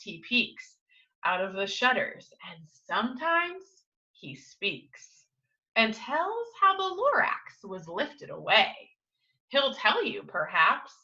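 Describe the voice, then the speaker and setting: A woman with a bright, very lively voice leads a children's storytime. She reads slowly with dramatic emphasis and pauses to coax young listeners with questions. The sound is a little dull and lo-fi. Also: mid-range voice. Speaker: teacher reading a picture book to young children. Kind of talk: animated children's storytime read-aloud with asides and questions.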